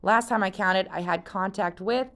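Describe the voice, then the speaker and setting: A woman's voice: mid-range voice, moderate pace, a bit bright, natural voice. A woman reading aloud, voicing a confident, chatty teenage girl who brushes off worries. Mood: breezy. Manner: breezy and dismissive.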